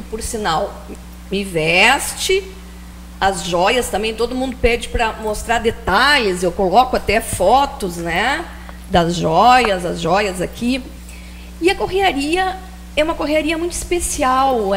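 A woman's voice: a little high, a little hiss or hum, low-quality recording. A middle-aged woman hosting a TV talk show, asking a guest questions in a chatty, friendly way on a studio set with a handheld mic. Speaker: TV show host. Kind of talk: casual interview questions. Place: TV studio set styled as rustic ranch.

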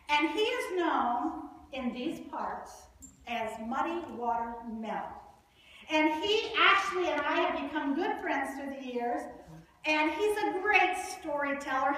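A middle-aged woman hosts a children's church show in a bright, sing-song voice. She talks slowly and with a wide, animated lilt. She sounds far from the mic in a heavily echoing sanctuary. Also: high-pitched. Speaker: puppet ministry presenter. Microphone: far room mic.

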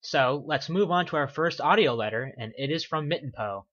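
A podcast co-host talks casually and hesitantly, with many fillers. The voice is bright, and the recording sounds dull and lo-fi. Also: mid-range voice, animated, fairly quick. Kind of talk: casual chat.

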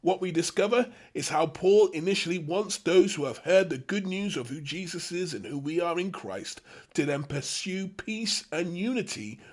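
A man leading a Bible study, teaching and praying with measured, emphatic phrasing. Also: high voice, expressive.

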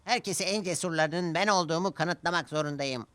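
A man's voice: a little high, brisk, a bit bright, faint music behind, voice pushed up, close to an everyday voice. A man voicing a boastful, eager little male steam engine in a children's cartoon, bragging and exclaiming. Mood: boastful, defiant.

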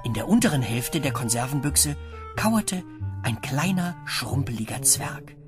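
Children's radio play narrator, animated storytelling with music behind. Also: mid-range voice, measured pace.

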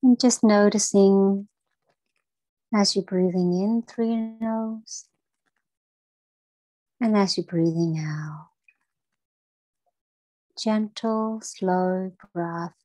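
A woman leading a guided breathing meditation for children, speaking in a gentle, soothing and encouraging way. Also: mid-range voice, fairly even intonation, very slow, long pauses, no echo, low-quality recording.

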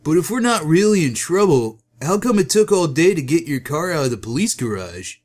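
A man's voice: fairly high pitch, very animated, brisk, a little mellow. A man playing a casual, hesitant young sidekick in a comic book dub, slangy and questioning. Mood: curious.

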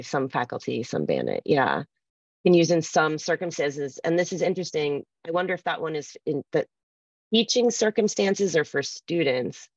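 Low-voiced woman presenting, thinking aloud. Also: no echo. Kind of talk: informal conference workshop talk, reading out poll responses. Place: video call from a room with bookshelves. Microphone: computer mic on video call. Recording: slightly dull video-call audio.

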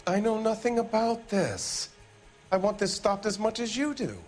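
An American actor playing a defensive, evasive middle-aged factory owner in a video game, with an indignant, blustering manner. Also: male voice, medium pitch, lively intonation, normal speed, music in the background, lightly acted.

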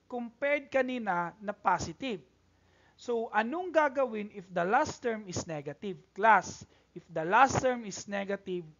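A man's voice: sits high, fairly bright tone. Male Filipino math teacher, native Bisaya speaker, instructional and repetitive, slightly muffled lo-fi sound. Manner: instructional, repetitive, checks in often. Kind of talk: tutorial lesson, code-switching explanation. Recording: dull, lo-fi voice-over recording.

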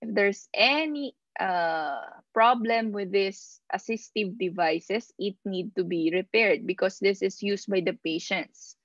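Slow, halting woman lecturer, dull audio. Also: medium pitch, no room sound. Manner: halting, pausing mid-phrase. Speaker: instructor of nursing assistant course. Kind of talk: slide lecture, reading points and explaining them. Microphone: video call.

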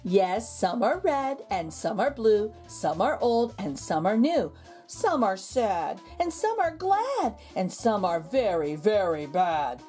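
Lady doing a kids book read aloud, super sing-songy and slow, music playing behind her. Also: mid-range voice. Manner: expressive, exaggerated intonation with drawn-out words. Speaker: children's book reader. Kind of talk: animated picture-book read-aloud for young children. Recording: background music under the voice.

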